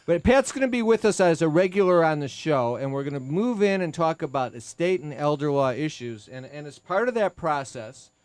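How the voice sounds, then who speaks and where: A man's voice: fairly high pitch, expressive, a little fast, hardly any pauses, smooth, no echo. A man in his sixties hosting a legal talk radio show, chatty and self-mocking, often stopping to restart a sentence. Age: 60s. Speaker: attorney and host. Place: radio studio. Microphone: studio mic.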